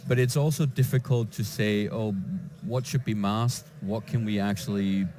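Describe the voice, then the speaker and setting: A man from Denmark, a database developer answering interview questions in a conference lounge, with frequent halting restarts. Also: on the low side, steady tone, mellow, slight background noise. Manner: hesitant, with many restarts and fillers.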